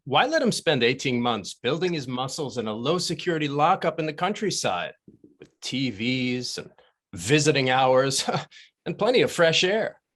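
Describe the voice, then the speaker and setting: Man playing a smug schemer with swinging pitch. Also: very animated, moderate pace, fairly bright tone. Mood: smug, sly. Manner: sly, sardonic. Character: smug, scheming male crony at a gentlemen's dinner. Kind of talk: screenplay table read.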